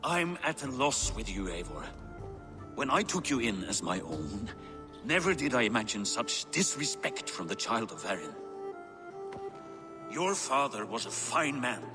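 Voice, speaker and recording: Brief: an aging Viking ruler, lively rise and fall, lordly and careful, score behind. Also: male voice, medium pitch. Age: older. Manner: measured, persuasive, lordly. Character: older Viking king, cautious and paternal. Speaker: game character. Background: game score music.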